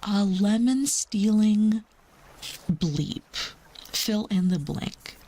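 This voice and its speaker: A woman livestreamer chatting casually with her viewers in a rambling, informal way, sometimes dropping into a soft near-whisper. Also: mid-range voice, drawn-out words, a little hiss or hum. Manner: chatty, rambling, calls herself Mama.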